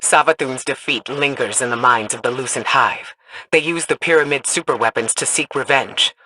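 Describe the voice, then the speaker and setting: A woman's voice: very deep voice, normal speed, bright, forceful tone, no echo, full character voice, low-quality recording. A woman voicing a solemn spymaster in a video game, giving mission briefings in a formal, authoritative manner. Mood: grave urgency. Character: solemn, commanding female spymaster, measured and grave.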